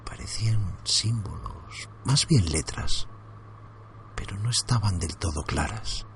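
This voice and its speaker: A man narrating a first-person horror story for his podcast in a hushed, suspenseful way, often sinking into whispers. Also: sits low, expressive, slow pace, creaky.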